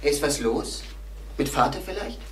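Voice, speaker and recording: Crime radio play: subdued, anxious schoolboy, roomy old recording with faint noise. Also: male voice, fairly high pitch, animated, measured pace, a bit bright. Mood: puzzled. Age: young adult. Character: worried, polite teenage schoolboy son. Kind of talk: German crime radio drama acting.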